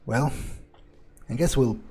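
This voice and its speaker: A man's voice: medium pitch, slow pace, warm tone, husky. A man improvising as an aloof, cynical vampire in a video game roleplay, speaking casually and with some hesitation. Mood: guarded.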